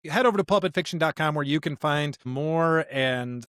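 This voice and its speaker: A man's voice: a little high, normal speed, a bit bright, dry recording, clean studio sound. A man co-hosting a podcast, discussing and reading scripture in an emphatic, conversational way. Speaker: podcast co-host.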